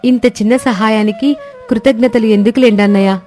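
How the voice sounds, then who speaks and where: A woman's voice: a little high, steady tone, moderate pace, warm tone, faint music behind, lightly acted. A woman playing a kind, dutiful village wife who speaks respectfully in a story. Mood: kind, modest. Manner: respectful, obliging.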